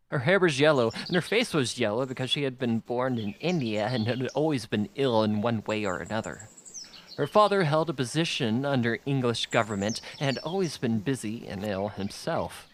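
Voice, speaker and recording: A man's voice: mid-range voice, a little hiss or hum. A man reading a children's novel aloud as scripted audiobook narration. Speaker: audiobook reader.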